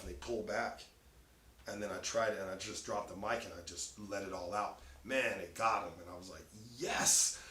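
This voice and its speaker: A man with a raspy voice, a stand-up comic telling stories in a casual chat with lots of laughter. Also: lowish voice, bright, forceful tone. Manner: loose, laughing storyteller. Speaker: stand-up comedian, guest. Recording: echoey room, faint noise.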